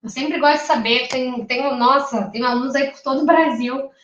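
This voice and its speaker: A woman's voice: sits high, moderate pace, fairly bright tone, echoey room, distant mic, highs cut off. A woman from Rio de Janeiro with a Carioca accent, giving a chatty live exam-prep lecture and often checking in with her listeners. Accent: Carioca Brazilian Portuguese. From Rio de Janeiro, Brazil. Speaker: teacher.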